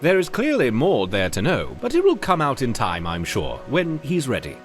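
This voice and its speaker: A scholarly, self-assured curator from a fantasy game. His fairly high voice rises and falls dramatically with pompous, formal courtesy. Music plays clearly behind him. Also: huge pitch swings, moderate pace. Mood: reassuring. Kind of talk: video game character dialogue.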